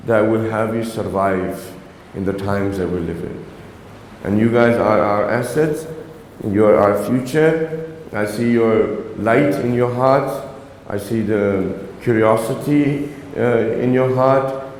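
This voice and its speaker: A man's voice: medium pitch, a little mellow, a little hiss or hum. A man whose first language is Urdu, giving an earnest religious talk to young people and often checking in with them. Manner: earnest, exhorting, asks the audience "right?". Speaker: religious lecturer. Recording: echoey reverberant hall.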